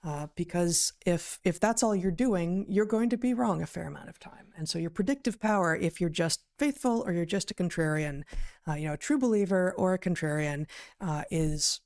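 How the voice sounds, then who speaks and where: A woman giving deliberate, articulate conversational commentary as a podcast co-host, pausing mid-sentence. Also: on the low side, a little mellow. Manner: deliberate, articulate, hesitant pauses mid-sentence, emphatic word choices. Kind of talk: conversational commentary on news, reading out quotes.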